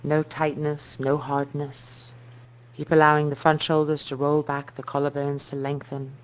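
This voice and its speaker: A woman yoga teacher guiding a meditation in a slow, soft, calming and measured way. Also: low-pitched. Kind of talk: guided meditation instruction. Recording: dull muffled recording with noise and hum.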